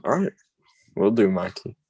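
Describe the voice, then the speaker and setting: A man hosting an online game over a video call, talking in a casual, brisk way. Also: lowish voice, very animated, warm tone, no room sound. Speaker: game host.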